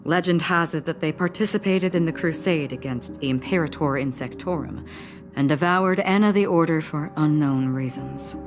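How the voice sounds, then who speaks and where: A woman plays an enigmatic video game warrior with quiet resolve. Her voice is notably low, her delivery grave and understated. Music runs clearly underneath. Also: normal speed, close to an everyday voice. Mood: solemn, resolute. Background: game soundtrack music.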